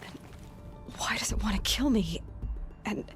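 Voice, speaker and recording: Brief: young female actor, curious game hunter, hushed and slow, wondering tone. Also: mid-range voice, close to an everyday voice. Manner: quiet, wondering, questioning. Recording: game audio with loud music.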